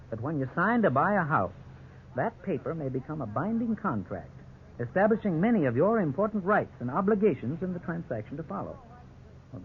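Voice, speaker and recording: An actor playing a frank, authoritative lawyer in an old radio drama, formal and instructive. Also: male voice, on the high side. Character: measured, frank, authoritative male lawyer advising clients. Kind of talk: 1950s radio drama acting. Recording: tinny, noisy old radio broadcast.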